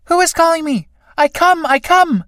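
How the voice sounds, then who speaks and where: A woman playing an eager young chrysalis longing to be born, calling out in excited, breathless exclamations. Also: extremely high pitch, moderate pace. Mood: ecstatic.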